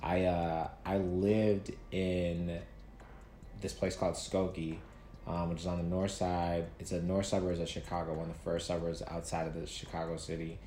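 A man in his thirties from Nigeria, a guest talking casually about his life, relaxed, with many fillers and restarts. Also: deep voice, normal speed. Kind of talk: casual interview storytelling. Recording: echoey room with faint noise.

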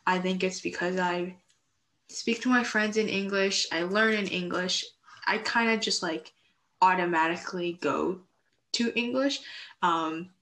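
A woman's voice: fairly low pitch, a little slow, fairly bright tone. A young woman speaking casually as part of a student group discussion.

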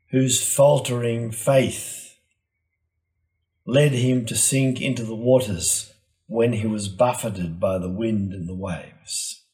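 A man delivering a scripted, reflective homily in a measured, solemn and deliberate manner. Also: lowish voice, warm tone. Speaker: preacher giving a Gospel reflection.

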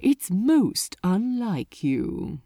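A woman voicing a seductive, sinister male archdemon in an audiobook, speaking softly and slowly with patronizing relish. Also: mid-range voice, big pitch swings, no echo, clean studio sound, exaggerated voice. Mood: patronizing, silky. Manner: slow, soft, luxuriating delivery. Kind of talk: dramatic audiobook reading.

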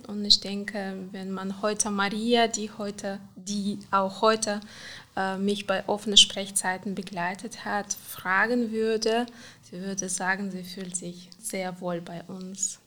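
A woman counselor, speaking German haltingly with many fillers in a casual interview. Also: medium pitch, little pitch movement, a bit bright, a little hiss or hum. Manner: halting, many fillers and restarts, non-native grammar. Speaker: counselor at a women's support center.

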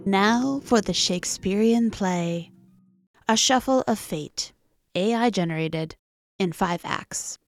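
A woman's voice: medium pitch, takes it slowly, soft background music. A woman hosting a podcast, reading scripted introductions with a playful, theatrical turn of phrase. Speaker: podcast host.

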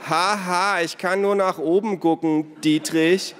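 A sarcastic, bossy storybook girl, put on by a man reading aloud, raised well above his normal pitch. She is loud and teasing, and the room gives a long hall reverb. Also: expressive, measured pace, some chatter behind, slight background noise. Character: cheeky, sarcastic, bossy girl lying paralysed.

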